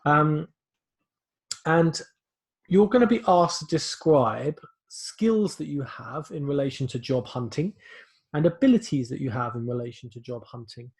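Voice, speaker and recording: A man's voice: a little high, animated, measured pace. Hesitant man teaching online. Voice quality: loud voice. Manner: hesitant, many fillers and restarts. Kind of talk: online class briefing.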